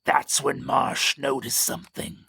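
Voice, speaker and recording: A man narrating a scripted story in a suspenseful, theatrical way, with a gravelly, breathy voice. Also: medium pitch, little pitch movement, a little slow, pushed and bright, no echo.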